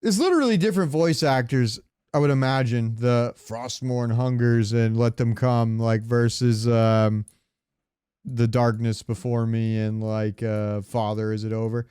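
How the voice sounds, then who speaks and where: Smooth-voiced man chatting about games. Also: medium pitch, steady tone, measured pace, warm tone, no room sound, studio quality. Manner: opinionated, profane, rambling. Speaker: podcast co-host. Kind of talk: casual gaming chat.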